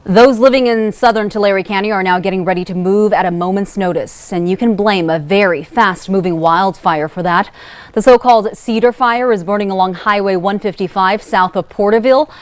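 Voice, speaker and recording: A woman's voice: mid-range voice, brisk. A woman with an American accent anchoring a television newscast in a broadcast news delivery.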